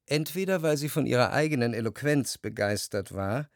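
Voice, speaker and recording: Actor as jealous male narrator, introspective, measured, medium pitch, dry studio sound, literary radio drama. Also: animated, dry recording, lightly acted. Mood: brooding, reflective. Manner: introspective, measured, long winding sentences. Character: brooding, jealous male narrator in love, reflective.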